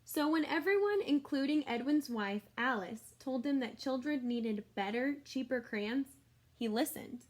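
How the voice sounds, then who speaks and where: A woman's voice: high-pitched, expressive, a little slow. A woman schoolteacher reading a picture book aloud to children, expressively, with chatty explanatory asides to her young listeners.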